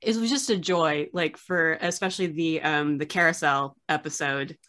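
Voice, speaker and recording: A woman's voice: deep voice, fairly even intonation, moderate pace, fairly bright tone. Gushing woman host on a video call. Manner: enthusiastic, gushing fan, many fillers. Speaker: host, archivist. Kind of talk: casual video-call interview. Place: small room at home, video call.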